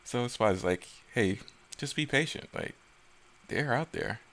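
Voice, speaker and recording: A man's voice: mid-range voice, expressive, a little slow, a bit bright. A man giving casual, unscripted commentary as a video creator, rambling and often correcting himself as he goes. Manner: rambling, self-correcting, stumbling over words.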